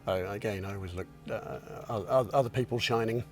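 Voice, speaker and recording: A man in his sixties answering interview questions in a reflective, modest way, pausing and restarting as he thinks. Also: fairly low pitch, faint music behind. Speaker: company founder and chairman.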